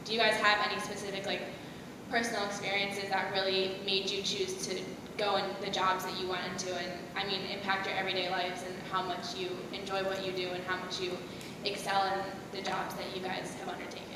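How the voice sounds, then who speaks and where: A woman's voice: monotone, a little fast. A teenage young woman, a student moderator, informally putting a question to a panel. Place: school theater stage. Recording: echoey hall, distant, noisy rumble.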